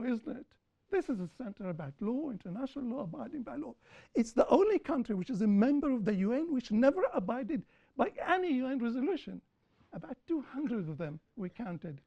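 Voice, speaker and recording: A woman speaking on a panel after a film screening, lecturing in a deliberate way and repeating points for emphasis. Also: fairly high pitch, dark tone. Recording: dull lo-fi recording.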